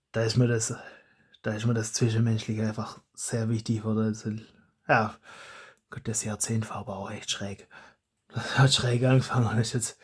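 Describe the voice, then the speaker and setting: A man's voice: fairly low pitch, slightly rough. A man with a German regional dialect, hosting his hobby channel in a rambling, self-correcting casual monologue.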